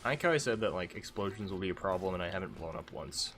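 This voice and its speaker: A man's voice: low-pitched, animated, fast, a bit bright, slight background noise. A man with a stuffy, congested voice giving rambling, self-mocking commentary as he streams a game. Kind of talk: casual gameplay commentary.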